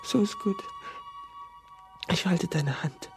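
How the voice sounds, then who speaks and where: A woman playing a loyal, frightened young friend in a radio drama, intimate, halting and pleading. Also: lowish voice, gravelly, faint music behind. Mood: tender, pleading. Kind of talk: German radio drama acting.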